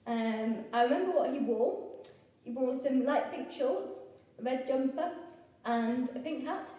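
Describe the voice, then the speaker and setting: A woman's voice: high-pitched, a little slow, mellow. A young woman from England answering interview questions about her partner in a chatty way, with frequent ums. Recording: echoey room, mic far off.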